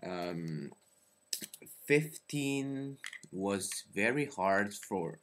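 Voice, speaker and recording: Slow, low-voiced young man vlogging. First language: Teochew. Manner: hesitant, many ums and uhs, self-correcting. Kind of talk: casual talk to camera. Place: small bedroom indoors. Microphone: webcam at close range.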